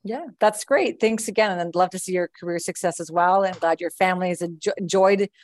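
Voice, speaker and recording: A woman's voice: fairly low pitch, fairly quick. A woman recruiter hosting an online information session, friendly and chatty with many fillers and restarts. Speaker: admissions recruiter and webinar host.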